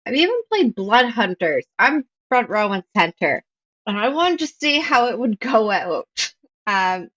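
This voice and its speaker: A woman of middling pitch speaks frankly and chattily in a relaxed interview. The recording is dry and a bit dull. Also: no echo. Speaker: guest player. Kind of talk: casual interview answers.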